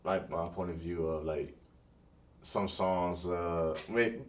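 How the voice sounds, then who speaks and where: A man's voice: deep voice, slow, distant mic. A young man hosting a casual podcast interview, talking in a hesitant way full of "like" fillers. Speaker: podcast host and blog writer.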